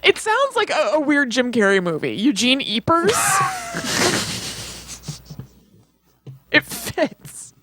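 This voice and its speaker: A woman's voice: sits high, wildly swooping pitch, slow pace, long pauses, pushed and bright. A woman co-hosting a podcast, chatting casually and playfully riffing along with jokes. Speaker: podcast co-host. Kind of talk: casual chat about movies and comedy.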